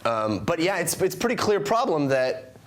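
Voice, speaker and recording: A man co-hosting a podcast, asking questions and pushing back in a measured way. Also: on the high side, sing-song. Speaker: co-host. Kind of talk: casual debate on a podcast.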